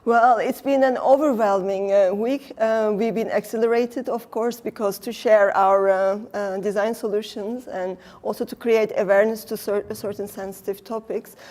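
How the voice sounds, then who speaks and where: A middle-aged woman from Turkey with a Turkish accent, a design researcher talking casually in an interview, pausing often with fillers. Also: mid-range voice, fairly even intonation, darkish tone. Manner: thoughtful, many fillers, laughs often. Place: design exhibition venue.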